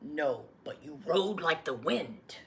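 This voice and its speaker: Woman voicing a slow, low, gentle old grandfather. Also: expressive, a little hiss or hum, full character voice. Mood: tender. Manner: slow, solemn, tender. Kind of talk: storybook read-aloud.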